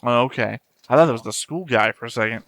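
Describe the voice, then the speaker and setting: A man talks through his reactions in a casual, unscripted way. His voice sits at an ordinary middle pitch, and he is blunt, tossing in swear words as a reaction host. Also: quick pace, a bit bright, dry recording. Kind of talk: casual off-the-cuff commentary while watching.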